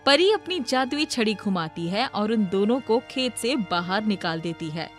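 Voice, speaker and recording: A woman narrates a scripted moral tale. Her voice sits at a middle pitch, with music playing behind her. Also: lively intonation, normal speed.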